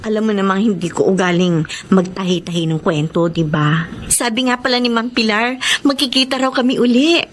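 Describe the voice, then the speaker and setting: A woman's voice: medium pitch, brisk, a little hiss or hum, close to an everyday voice. An actress playing a humble, grateful young single mother in a Filipino radio drama, speaking politely and earnestly. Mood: chatty, earnest.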